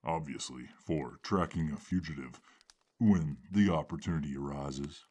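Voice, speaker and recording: A man's voice: very deep voice, moderate pace, darkish tone, husky. An actor playing a blunt, grim nobleman who speaks in terse, matter-of-fact phrases. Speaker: nobleman Lord Bardock.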